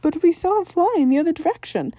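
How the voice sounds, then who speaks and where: Casting: woman playing middle-aged ghost-hunter mom, insistent, concerned, higher-set voice, dry audiobook sound. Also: very animated, fast, warm tone, dry recording. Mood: concerned, demanding. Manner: insistent, questioning. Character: concerned, demanding middle-aged mother who hunts ghosts.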